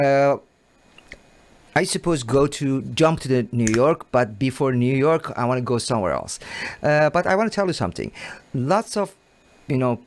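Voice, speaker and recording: A man hosting a live call-in show, speaking halting English and repeating short phrases like "very good" and "thank you.". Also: mid-range voice.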